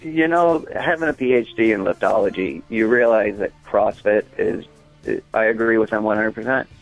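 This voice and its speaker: A man with a lowish voice and plenty of fry speaks as a guest on a comedy show. He is casual, matter-of-fact and opinionated, over faint music. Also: normal speed, lo-fi sound. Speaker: caller, comic expert guest.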